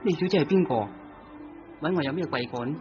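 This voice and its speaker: Man as dissolute young painter, low hushed voice, radio play, dull lo-fi sound, loud music under. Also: brisk, lightly acted. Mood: wary. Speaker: radio drama actor. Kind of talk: 1950s-60s radio play acting. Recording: dull lo-fi old radio recording. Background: loud music score.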